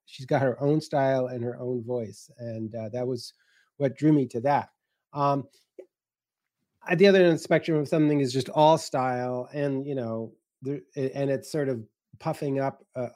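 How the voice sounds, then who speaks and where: A man talking casually on a panel as a writer and editor, hesitant and halting with many fillers. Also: medium pitch, dark tone.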